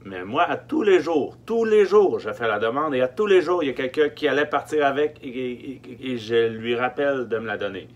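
A man in his thirties with a Quebec French accent gives informal, emphatic instructions with hesitant pauses, speaking through a face mask in a small truck interior. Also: mid-range voice, lively intonation, lo-fi sound. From Quebec, Canada. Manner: emphatic, repeats key words, hesitant pauses. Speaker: film production logistics technician giving tips. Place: small wooden-lined storage truck interior. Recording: faint hum and background noise.

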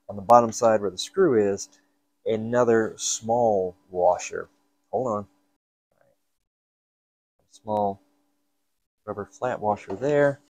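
Mellow, low-voiced man narrating a repair. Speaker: hobbyist repair host. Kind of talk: casual hands-on repair narration. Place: indoor workshop at a workbench.

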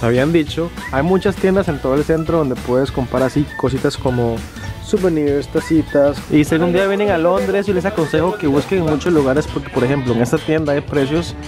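A young man talks straight to his viewers in a chatty, easy way, holding the camera at arm's length as he walks busy city streets. His voice sits high for a man. Loud music plays under his talk. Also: fairly quick. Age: young adult. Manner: chatty, addresses viewers directly. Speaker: travel vlogger. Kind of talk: casual travel vlog. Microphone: handheld camera at arm's length.

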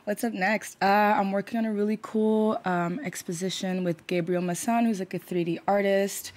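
A woman's voice: mid-range voice, steady tone, normal speed, darkish tone. A woman from Brazil, a Portuguese speaker, answering interview questions in a rambling way full of fillers. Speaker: musician guest.

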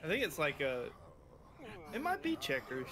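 A man's voice: a little high, wildly swooping pitch, normal speed, crowd noise. A man co-commentating on a reaction stream in casual chat, with an amused, giggly manner.

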